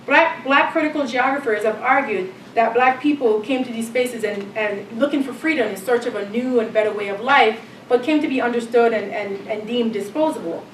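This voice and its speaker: A middle-aged woman from Jamaica giving an academic lecture, reading in a measured way with frequent ums. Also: fairly high pitch, reverberant, distant mic, slight background noise, low-quality recording. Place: lecture hall.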